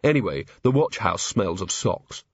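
A man's voice: low voice, big pitch swings, normal speed, gravelly, slightly rough, no room sound, lo-fi sound, heavily acted. Man voicing a wry, unflappable watchwoman. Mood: wry, relaxed. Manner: dry, laconic, teasing. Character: wry, unflappable female city watch officer.

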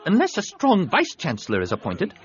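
A man's voice: sits high, wildly swooping pitch, a little fast, muffled recording, pitched above the performer's own voice. A man voicing a shrewd alien senator in an audiobook, with pointed, questioning delivery. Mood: probing.

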